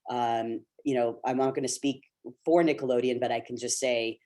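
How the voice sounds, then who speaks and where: Mellow-voiced middle-aged man, earnest and encouraging. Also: medium pitch, steady tone, moderate pace. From Canada. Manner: earnest, encouraging, many fillers and restarts. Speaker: actor speaking on a casting webinar. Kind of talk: candid talk to young actors. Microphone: video call.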